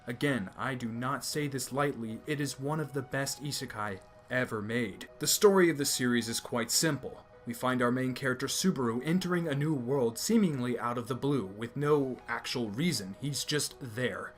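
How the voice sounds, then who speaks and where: A young man from America reviews an anime series, emphatic and opinionated, circling back to restate his points. His voice sits at a medium pitch. A faint music bed plays underneath. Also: moderate pace, hardly any pauses. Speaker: anime video host. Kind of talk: enthusiastic review commentary.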